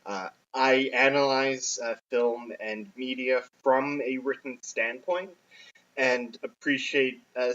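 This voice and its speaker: Opinionated young male co-host, halting delivery, muffled recording. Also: a bit bright. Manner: blunt and opinionated, many filler pauses. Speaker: podcast co-host. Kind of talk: casual film podcast chat. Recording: muffled low-quality recording.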